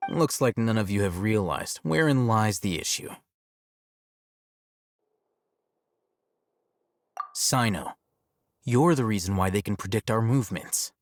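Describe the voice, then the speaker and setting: Aloof, low-voiced young scholar. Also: male voice, lively intonation, dry recording. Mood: detached. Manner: measured, detached, matter-of-fact. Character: aloof, coolly logical young male scholar. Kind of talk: video game character dialogue.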